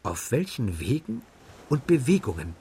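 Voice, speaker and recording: A man's voice: mid-range voice, huge pitch swings, moderate pace, creaky, dark tone, a little hiss or hum. A man reading a scripted literary voice-over as narrator.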